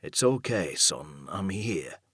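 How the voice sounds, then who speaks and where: A man's voice: low-pitched, sing-song, takes it slowly, a bit bright, husky, no echo, clean studio sound, heavily acted. A man voicing a confused, soothing elderly father with a failing memory in an audiobook story.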